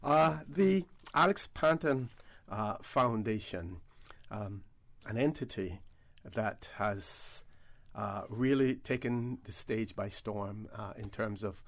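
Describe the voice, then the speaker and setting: Halting radio host, low male voice. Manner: halting, many "uh" pauses. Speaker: radio talk show host. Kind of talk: radio interview questions.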